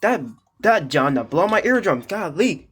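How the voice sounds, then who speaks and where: A man's voice: high voice, big pitch swings, a little slow, a bit bright, slightly rough. A man giving casual, joking reaction commentary in slangy speech, full of exclamations. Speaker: reaction video host.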